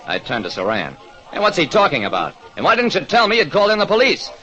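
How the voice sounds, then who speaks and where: American man, hard-boiled detective, animated pitch, music behind, dull vintage recording. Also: fast talker, heavily acted. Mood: probing. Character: wry, quick-thinking American private detective. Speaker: narrator and detective lead. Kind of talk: 1940s radio detective narration.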